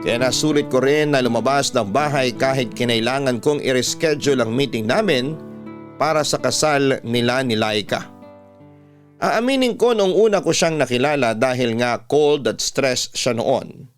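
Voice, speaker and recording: A man's voice: mid-range voice, normal speed, faint music behind. A man narrating a scripted first-person love story as a radio host reading a listener's letter.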